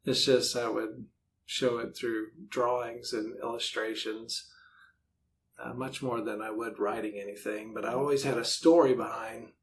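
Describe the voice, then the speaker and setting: A man's voice: fairly even intonation, measured pace. A middle-aged man giving casual, rambling, self-deprecating answers as a podcast guest. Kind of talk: casual conversational interview answers. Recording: faint low rumbling background noise.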